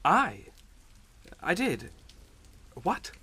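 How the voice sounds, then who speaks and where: An actor playing a cornered sea captain in a suspense radio drama, indignant but yielding, halting and stammering. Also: male voice, sits high, huge pitch swings, sharp tone, husky, full character voice. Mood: shocked disbelief.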